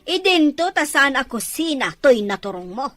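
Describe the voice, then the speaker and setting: A woman's voice: high voice, big pitch swings, normal speed, fairly bright tone. A woman playing a haughty, hot-tempered middle-aged mother in a Filipino radio-style drama, scornful and scolding. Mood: haughty, suspicious.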